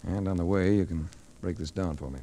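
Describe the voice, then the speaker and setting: An actor playing a wry private investigator who narrates his case in dry, measured 1940s radio drama style. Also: low voice, dark tone. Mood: calm, probing. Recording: noisy vintage 1940s radio recording.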